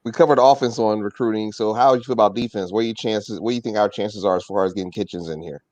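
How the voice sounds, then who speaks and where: A man's voice: on the low side, fast talker, darkish tone, no echo. A man hosting a casual sports conversation and putting questions to his guests. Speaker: podcast host.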